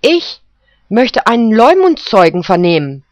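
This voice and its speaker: A narrator voicing a male poodle defense lawyer who objects in loud, high outbursts. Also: big pitch swings, unhurried, no room sound. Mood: indignant. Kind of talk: storybook character voice. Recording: dull, dry recording.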